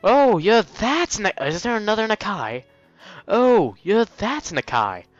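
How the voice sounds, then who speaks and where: A man's voice: sits high, very animated, a little slow, no echo, lo-fi sound, voice pushed up. A man playing a friendly, breezy young male school nurse in a visual novel, upbeat and casually reassuring.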